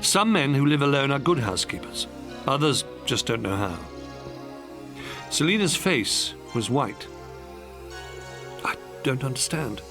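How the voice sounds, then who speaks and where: A man's voice: medium pitch, very animated, unhurried, music in the background. A man reading an audiobook as a dramatic, scripted narration.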